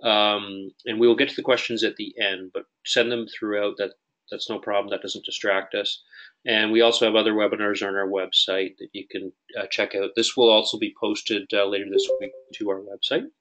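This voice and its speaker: A man with a Canadian accent hosting a webinar as client services manager, relaxed and friendly, with frequent uhs. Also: fairly low pitch, normal speed, lo-fi sound. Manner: friendly, relaxed, filler-heavy. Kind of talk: webinar introduction.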